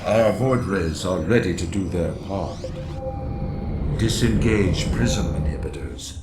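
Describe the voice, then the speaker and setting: A dutiful alien fleet commander gives formal orders in a very low, slow voice. It echoes heavily, like game dialogue. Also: male voice. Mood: resolute. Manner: formal, measured military delivery. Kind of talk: video game voice acting. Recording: echoing voice under loud music.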